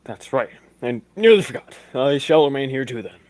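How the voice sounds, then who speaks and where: A man playing a pompous, excitable gentleman sidekick in 1940s radio detective style, with a husky voice and old-fashioned manners of address. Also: medium pitch, normal speed, a little hiss or hum, lightly acted. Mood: breezy.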